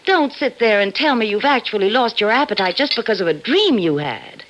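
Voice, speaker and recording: An actress playing a fond, reassuring wife who gently dismisses worries, in old-time radio drama style. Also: female voice, a little high, lively intonation, brisk, close to an everyday voice. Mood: coaxing, reassuring. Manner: coaxing, hesitant repetitions. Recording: dull, faintly noisy vintage radio recording.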